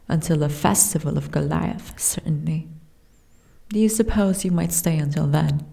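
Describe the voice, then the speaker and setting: Woman playing a slow, mellow, wistful giantess princess. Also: low-pitched, vocal fry. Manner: formal, archaic, genteel phrasing. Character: gentle, wistful young giantess princess, courtly and frail. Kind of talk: ASMR fantasy roleplay.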